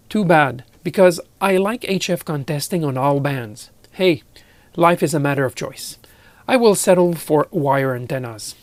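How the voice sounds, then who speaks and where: A man's voice: on the high side, a little mellow, no echo. Middle-aged man narrating a technical vlog. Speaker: amateur radio operator narrating a project.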